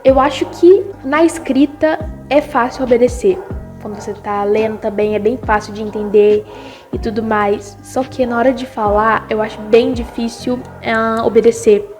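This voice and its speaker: A Brazilian teenage girl with a slightly high voice shares her opinion, pausing and restarting as she thinks aloud. Faint music sits in the background. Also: steady tone, darkish tone. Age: teens. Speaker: student. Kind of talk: school project opinion.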